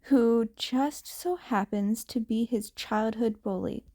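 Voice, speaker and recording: A woman's voice: on the high side, slow, dark tone. A woman reading fiction aloud as an amateur audiobook narrator.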